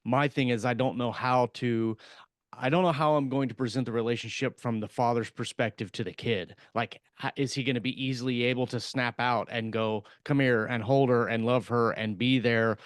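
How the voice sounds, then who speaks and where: Reflective middle-aged male podcast co-host, crisp resonant tone, dead-dry room. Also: medium pitch, steady tone, moderate pace, no room sound. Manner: reflective, thinks aloud. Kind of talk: casual chat.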